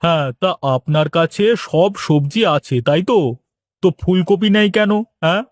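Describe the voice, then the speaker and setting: A man's voice: fairly high pitch, lively intonation, measured pace, no room sound, studio quality. A man playing a polite, patient village vegetable farmer in a Bengali cartoon. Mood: polite, earnest. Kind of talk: cartoon story dialogue.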